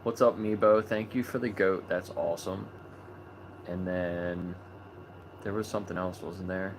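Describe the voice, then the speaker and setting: A man with a fairly low voice hosts a live online chat. He talks in a loose, relaxed way, full of ums and small restarts. A steady noise sits under the recording. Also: a little slow. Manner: relaxed, chatty, many fillers. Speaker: host of a live video chat about animal rights. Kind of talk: casual livestream chat.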